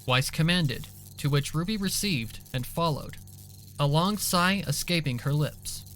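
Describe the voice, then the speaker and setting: A man's voice: a little high, animated, unhurried, fairly bright tone, soft background music. A man reading scripted dramatic story narration as the narrator of an audio drama.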